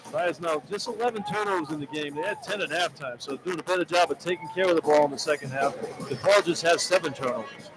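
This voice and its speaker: High-voiced man giving basketball color commentary. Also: quick pace, music in the background, rough recording. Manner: conversational, plain-spoken analysis. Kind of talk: live basketball analysis between plays. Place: courtside in an indoor arena.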